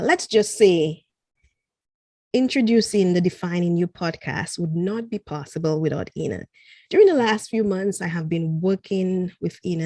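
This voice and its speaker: A woman's voice: on the low side, mellow, no echo. A woman hosting her own podcast, who interviews her guest in an effusive, grateful way and often restarts her sentences. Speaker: podcast host.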